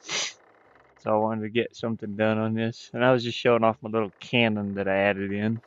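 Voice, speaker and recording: A man chatting casually on a livestream as a game developer, speaking in a halting way and often trailing off. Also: level tone, clear, resonant tone, no echo. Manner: hesitant, halting, frequently trailing off. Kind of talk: casual stream chat. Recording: muffled, dull low-fidelity stream audio.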